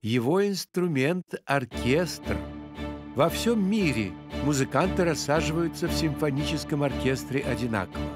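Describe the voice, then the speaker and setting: An actor playing an elegant grasshopper conductor in a children's cartoon, teaching patiently in a theatrical, measured manner. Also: male voice, on the high side, animated, over music, natural voice. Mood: patient, explanatory.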